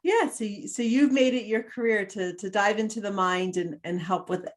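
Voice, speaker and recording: A woman's voice: medium pitch, normal speed. A woman hosting a podcast interview, reading the guest introduction and asking questions in a friendly way. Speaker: podcast host, therapist.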